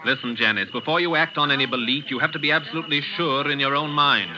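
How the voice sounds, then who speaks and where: Male actor for a defiant, earnest doctor, slightly high, firm delivery, noisy vintage radio sound. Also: fast talker, muffled recording, natural voice. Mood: triumphant, resolute. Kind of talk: 1940s radio drama acting. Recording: noisy 1940s radio recording.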